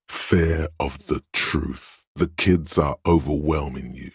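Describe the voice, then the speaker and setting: Domineering inner voice, extremely deep, resonant, pompous, commanding, measured game narration, dry close sound. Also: male voice, slightly rough, dry recording, exaggerated voice. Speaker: video game voice actor.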